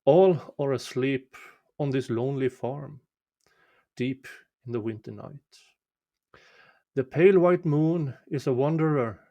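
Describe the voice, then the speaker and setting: Slow-paced man reciting a winter folk tale. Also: mid-range voice, mellow, dull audio. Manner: measured, deliberate, with pauses between short phrases. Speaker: amateur reader of a poem.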